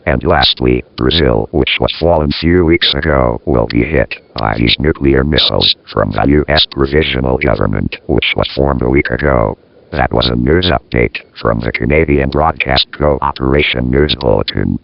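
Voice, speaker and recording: A man with a very deep bass voice full of gravelly fry reads a scripted news bulletin. The audio is dull and lo-fi. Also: moderate pace, no room sound.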